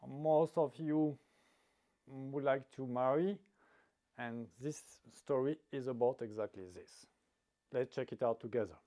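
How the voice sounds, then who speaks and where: Slow, halting man. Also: medium pitch, warm tone. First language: French. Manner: halting, searching for words, many restarts. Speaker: dating advice vlogger. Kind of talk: storytelling monologue.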